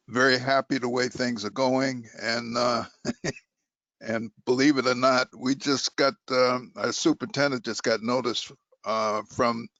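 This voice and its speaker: A man with a halting, hesitant delivery giving an informal board update in an online meeting. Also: mid-range voice, a bit bright, no room sound. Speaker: school board member giving a district update. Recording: dull, lo-fi meeting audio.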